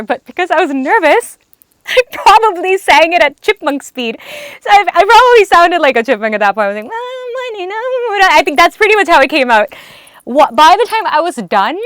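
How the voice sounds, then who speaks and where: A young woman, a singer, telling lively stories in an interview, laughing and acting out what people said. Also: sits high, no echo. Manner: animated, laughing, acts out quotes. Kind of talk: storytelling in an interview.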